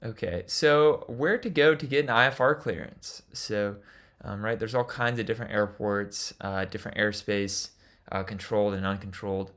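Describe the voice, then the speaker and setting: A man's voice: lowish voice, normal speed, fairly bright tone. A man giving an instructional lecture as a flight instructor, explaining things in an informal way.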